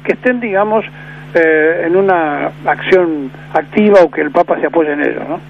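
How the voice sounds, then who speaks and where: High-voiced Argentine radio host. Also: male voice, normal speed, vocal fry. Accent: Argentine Spanish. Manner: rambling, restarts often. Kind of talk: radio interview chat. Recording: dull lo-fi AM radio broadcast with faint noise.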